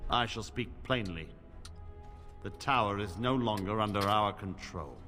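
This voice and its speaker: An actor playing a stern, weary middle-aged templar commander in a fantasy game, speaking gravely and formally. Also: male voice, on the low side, measured pace, pushed and bright. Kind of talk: fantasy video game dialogue. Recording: reverberant stone hall, music underneath.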